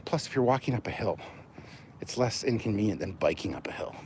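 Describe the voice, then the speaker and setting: A man's voice: on the low side, animated, brisk, a little mellow. A man livestreaming casually as he walks outdoors, talking in a rambling, joking, sweary way. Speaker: livestream host. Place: outdoors, walking along city streets near traffic. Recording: noisy outdoor street with passing cars.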